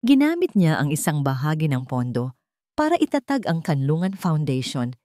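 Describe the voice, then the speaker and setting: A woman narrating a scripted romantic drama story in a dramatic, measured storytelling manner with emphatic short phrases. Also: lowish voice, a little mellow, no room sound, clean studio sound.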